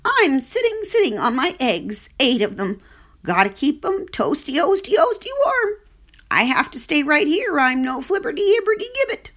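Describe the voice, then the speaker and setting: A woman's voice: sits high, very animated, moderate pace, a bit bright, pitched above the performer's own voice. A woman voicing a broody mother goose who repeats her words in a singsong way, in a storybook reading. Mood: fussy.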